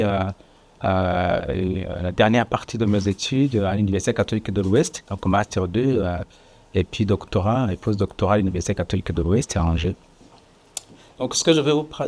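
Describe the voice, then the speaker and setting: A man's voice: on the low side, a little mellow. A man from Rwanda, a researcher speaking on a panel, hesitant with many fillers and restarts. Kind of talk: panel talk. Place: conference room with audience.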